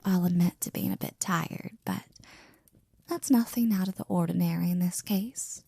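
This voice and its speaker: A woman playing a flirty, devoted maid in an intimate ASMR roleplay, breathy and often near a whisper, with a teasing, affectionate manner. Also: fairly low pitch, dark tone, dry recording. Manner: teasing, affectionate, slow and drawn out.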